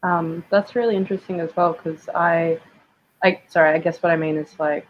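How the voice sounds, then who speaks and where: A woman on a festival panel speaking in a halting way, correcting herself as she goes. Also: fairly low pitch, fairly even intonation, lo-fi sound, slight background noise. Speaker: panelist, writer. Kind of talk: panel discussion.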